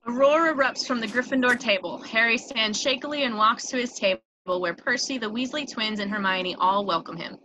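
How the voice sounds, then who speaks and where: A woman's voice: on the high side, brisk, few pauses, bright, forceful tone, slight background noise, poor audio. A woman narrator reading out scripted screen directions for a table read.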